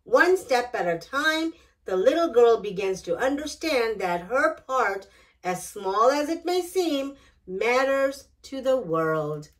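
A middle-aged woman hosting storytime, reading a picture book aloud in an expressive, deliberate way. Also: on the high side. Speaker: children's storytime host. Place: small decorated indoor storytime set.

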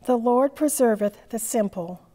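A woman in her sixties leading a church service as priest, reciting psalms and prayers in a measured, formal way. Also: on the high side, a loud crowd. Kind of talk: liturgical reading. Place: large church sanctuary with stained glass. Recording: long church reverb.

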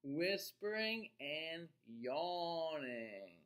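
Very slow, expressive man reading to children. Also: on the high side. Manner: deliberate, expressive, pausing to question listeners. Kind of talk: picture-book read-aloud for young children.

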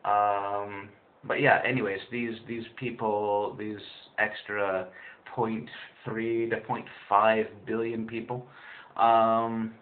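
A man's voice: on the low side, flat delivery, takes it slowly. A young man in his twenties talking to his webcam in a small room, rambling with lots of fillers and restarts. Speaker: vlogger, English teacher in China. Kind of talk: casual webcam vlog. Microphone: webcam built-in mic, close. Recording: tinny lo-fi webcam audio with faint hiss.

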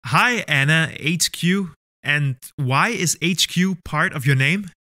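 A man's voice: on the high side, takes it slowly, bright, forceful tone, dry recording, studio quality. A man hosting a mock talent show in an upbeat, showman-like way. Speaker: host.

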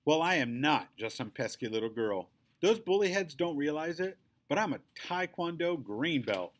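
Man voicing a feisty, excitable little girl. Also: fairly high pitch, normal speed, voice pushed up. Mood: boastful. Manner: emphatic, excitable.

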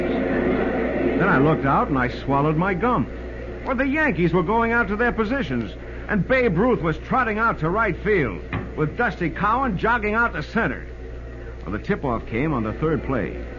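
Excitable american radio sportswriter telling a yarn, voice swings a lot, noisy muffled old broadcast. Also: male voice, a little high. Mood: excited. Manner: folksy, animated storytelling. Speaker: narrator, sports reporter and host. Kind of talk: old-time radio dramatic narration.

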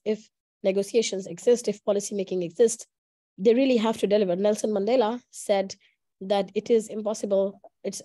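A woman's voice: mid-range voice, warm tone. A young woman giving earnest panel remarks as a youth advocate on a video call. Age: young adult.

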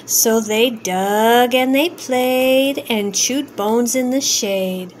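Slow, high-voiced woman reading a children's story. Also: slight background noise. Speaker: storybook reader. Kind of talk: expressive read-aloud children's story.

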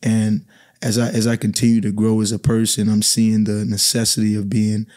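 A man in his thirties from the Bahamas, a basketball coach talking calmly as a podcast guest in a small studio. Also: fairly low pitch, moderate pace. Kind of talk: podcast conversation. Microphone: studio mic on boom arm.

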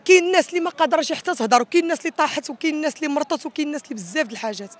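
A high-voiced Moroccan woman speaks at great speed. She sounds like an impassioned protester, emphatic and repetitive, recorded on a handheld mic outdoors. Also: very fast. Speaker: protesting wedding musician. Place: outdoors in a city square. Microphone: handheld reporter microphone.

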